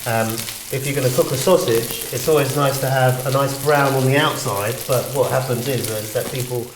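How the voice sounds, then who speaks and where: A man explains his cooking in a relaxed, joking way as he co-hosts a cooking demonstration. The kitchen sounds roomy and echoey, and a pan sizzles loudly behind him. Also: mid-range voice, normal speed, background noise. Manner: playful, jokey banter. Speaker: cooking show co-host.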